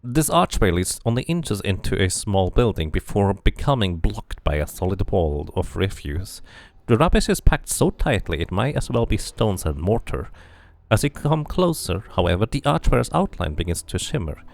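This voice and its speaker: Man, game streamer, low gravelly voice, close dry sound, musing casual commentary. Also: big pitch swings, normal speed, a little mellow, no echo. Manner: musing to himself. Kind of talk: casual playthrough commentary, reading game text aloud.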